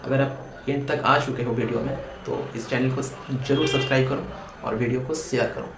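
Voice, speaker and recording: Indian guy explaining stuff to viewers, mid pitch, roomy echo with a bit of noise. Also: fairly even intonation, normal speed, gravelly. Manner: direct address with rhetorical questions. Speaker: presenter of a legal awareness video. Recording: echoey room with faint background noise.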